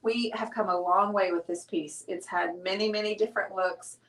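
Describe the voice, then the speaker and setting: A woman's voice: medium pitch, fairly even intonation, normal speed. A middle-aged woman hosting a painting show, chatting casually and thinking aloud as she paints. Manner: chatty, teasing, thinks aloud while working. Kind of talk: casual live-stream chat while painting. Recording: roomy sound from a distance.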